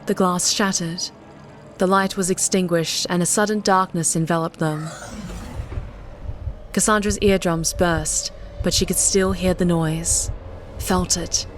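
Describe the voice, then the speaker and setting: A woman narrates an audiobook in a dramatic, scripted style. Her voice sits a little low, and music plays behind her. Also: steady tone, unhurried.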